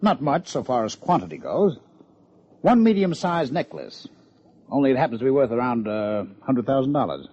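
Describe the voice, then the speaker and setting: An American actor playing a dry, needling police lieutenant with a sardonic manner in an old-time radio detective drama. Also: male voice, medium pitch, sing-song, moderate pace, darkish tone, slightly rough. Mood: dry, curious. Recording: muffled old radio recording.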